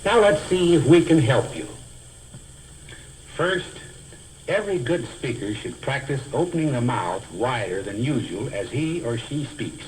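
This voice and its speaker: A man giving a scripted instructional lecture as a speech teacher, in a deliberate, carefully enunciated, didactic manner. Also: medium pitch, lively intonation, darkish tone, poor audio. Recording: hissy old educational film soundtrack.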